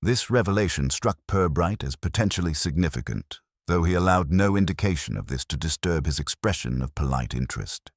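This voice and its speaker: Very deep-voiced man narrating, lively intonation. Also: dry recording. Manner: measured, dry, formal storytelling. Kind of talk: scripted audiobook mystery narration.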